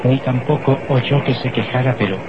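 A man's voice: medium pitch, fairly even intonation, normal speed, very noisy. A man narrating an old radio drama from a script in a solemn, dramatic, literary manner. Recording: muffled old radio recording.

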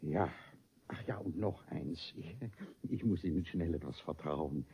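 An actor in a classic comedy radio play voicing a vain, gullible middle-aged bourgeois who stammers, repeats himself and blusters. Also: male voice, low voice, unhurried, husky, highs cut off, exaggerated voice. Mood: bashful, confiding.

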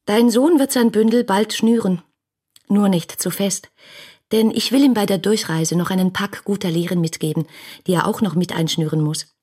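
Give the listener a woman acting a young, impetuous admirer in a radio drama. Her mid-pitched voice is husky. She reads her letters expressively, boldly and with playful reproach. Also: moderate pace, warm tone, lightly acted.

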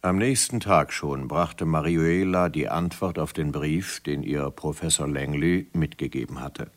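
Casting: male narrator, extremely low voice, measured literary storyteller for vintage radio drama. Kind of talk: scripted narration of a 1970s radio play.

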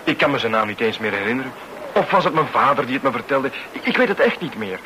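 An actor playing a smoothly persuasive tall suitor in a radio drama, speaking in a casual, coaxing way with small hesitations. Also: male voice, medium pitch, sing-song, fast, sharp tone, slight background noise, poor audio. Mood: casually probing. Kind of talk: Dutch-language radio drama acting. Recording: old radio play recording.

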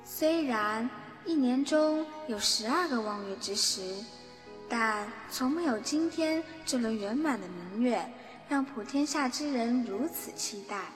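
Woman narrating a fantasy audio drama, highish voice, some music under her. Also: lively intonation, unhurried. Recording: long reverb, music underneath. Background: background music.

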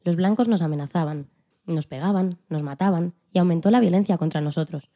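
A woman plays an elderly female activist telling her story in a scripted interview. Her voice is very soft-edged and dark, slightly low, and moves along quickly. She stays calm, earnest and plain-spoken, with quiet dignity. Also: natural voice. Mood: earnest, resolute. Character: Rosa Parks, elderly woman activist recalling the bus boycott, dignified. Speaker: podcast guest in a dramatized interview. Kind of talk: scripted first-person storytelling in interview form.

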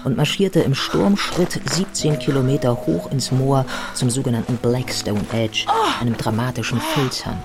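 An actress playing a thoughtful modern biographer, giving measured, articulate literary narration in a radio play. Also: female voice, extremely low pitch, over loud music. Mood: vivid narration.

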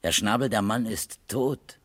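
An actor playing a sober, courteous police captain with a formal, terse manner in a 1970s German radio crime drama. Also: male voice, lowish voice, a little slow, fairly bright tone, dry recording. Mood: grave.

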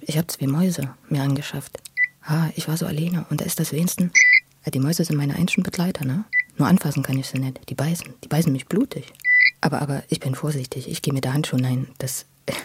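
A speaker talks in a casual, halting way, circling back over words. The voice is gravelly and sits at a middling pitch, in a very noisy recording with clicks. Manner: halting, repeats words, colloquial. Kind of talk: casual private conversation.

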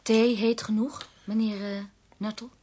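A woman's voice: mid-range voice, flat delivery, a little slow, warm tone, lightly acted. A woman acting a polite, bereaved wife in a radio play, courteous and subdued. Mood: wistful, composed. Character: polite bereaved wife hosting visitors with tea. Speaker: actress in a radio drama.

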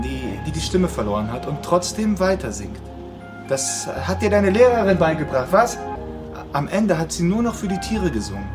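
Man playing a rambling higher-voiced storyteller over music. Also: natural voice. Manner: coaxing, hesitant, storytelling. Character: rambling, sentimental man talking to a little girl. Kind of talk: naturalistic radio drama acting.

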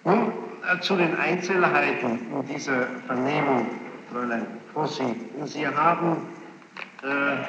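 A man's voice: mid-range voice, gravelly. Echoey archival tape, formal insistent man questioning. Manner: formal, insistent, halting with repetitions and hesitations. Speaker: judge questioning a witness in court. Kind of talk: courtroom questioning. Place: large echoing courtroom. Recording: noisy muffled archival courtroom tape, echoing hall.

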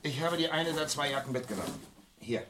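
An actor playing a matter-of-fact, quietly sharp detective assistant in a crime radio play, dry and understated. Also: male voice, a little high, lively intonation, brisk, noisy background, lightly acted. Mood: dry, factual.

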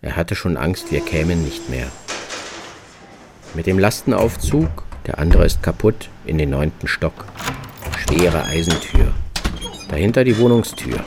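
A man's voice: low voice, lively intonation, measured pace, noisy background. A man reading scripted first-person radio narration in terse, fragmentary phrases. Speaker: narrator of a radio travel piece. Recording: music behind the voice. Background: music.

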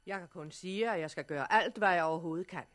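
An actress playing a nurse in a 1960s radio drama, giving instructions in an earnest, dutiful, matter-of-fact way. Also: female voice, lowish voice, lightly acted. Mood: earnest, instructing. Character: earnest, practical female nurse addressing survivors.

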